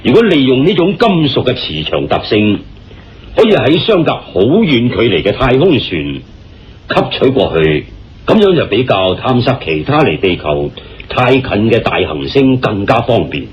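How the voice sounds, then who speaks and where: An actor playing an earnest, deliberate man confiding state secrets in a radio drama, with a Hong Kong Cantonese accent and dramatic phrasing. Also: mid-range voice, sing-song, a little hiss or hum, close to an everyday voice. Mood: explanatory. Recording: dull, muffled radio-style recording. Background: background music.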